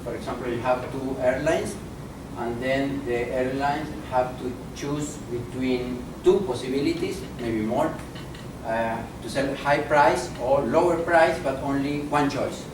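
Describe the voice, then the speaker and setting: A man's voice: medium pitch, background noise. Male professor, far in an echoey room. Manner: deliberate, halting, many fillers. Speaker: visiting professor and speaker. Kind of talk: academic conference lecture. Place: conference room.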